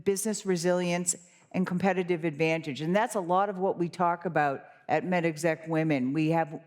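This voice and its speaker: A woman moderating a conference panel who briskly prompts the speakers and delivers prepared remarks. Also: lowish voice, steady tone, a little mellow. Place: conference hall. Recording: reverberant conference hall.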